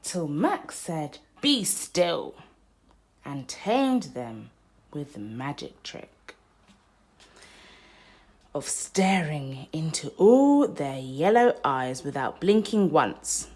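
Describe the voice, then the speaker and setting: Female storybook reader, low register, lively swings in pitch, theatrical delivery for little kids. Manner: expressive, theatrical, deliberate phrasing for young children. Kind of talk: children's picture-book read-aloud.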